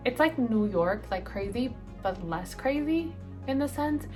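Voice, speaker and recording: A woman's voice: on the high side, animated, a little slow, music in the background. A woman in her late twenties, from El Salvador, chatting casually through a get-ready-with-me Q&A, rambling with lots of ums and likes. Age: late 20s. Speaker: beauty vlogger.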